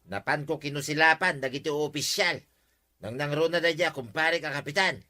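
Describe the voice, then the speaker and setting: A man's voice: a little high, normal speed, pushed and bright. A man with an Ilocano accent acting a brash, mischievous village schemer in a comedy drama. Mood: defiant.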